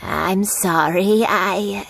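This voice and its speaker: An actress playing a kindly, gentle old granny who sells kites in a video game. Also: female voice, mid-range voice, fairly even intonation, gravelly, slight background noise, full character voice. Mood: hesitant. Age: older. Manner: gentle, grandmotherly, unhurried. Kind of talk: English video game voice acting.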